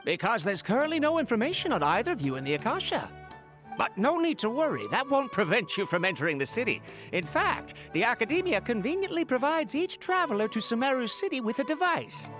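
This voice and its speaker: A woman's voice: fairly high pitch, big pitch swings, fairly quick, fairly bright tone, soft background music. A woman playing a courteous, official city gatekeeper in a video game, speaking in a formal, polite, explanatory way.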